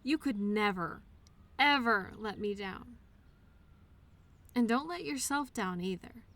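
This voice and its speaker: Woman acting a high-voiced, encouraging imaginary friend. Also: animated, takes it slowly, exaggerated voice. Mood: gentle, reassuring. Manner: earnest, reassuring, gently encouraging. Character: upbeat, loyal childhood imaginary friend, tenderly encouraging. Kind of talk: one-sided audio roleplay, intimate scripted conversation.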